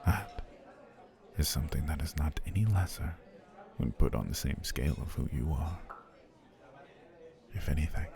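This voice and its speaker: A man voicing a formal, flattering male potion seller on a dinner date in an ASMR roleplay, slipping into soft whispers. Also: extremely low pitch, normal speed, gravelly, long pauses, a crowd in the background, heavily acted. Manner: formal, courtly, flattering speech.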